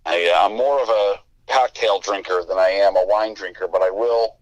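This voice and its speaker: A man's voice: on the low side, moderate pace, dry recording. A man from Chicago's south side, a detective guest chatting casually on a phone call with an earpiece. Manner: easygoing, laughs lightly. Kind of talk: casual interview.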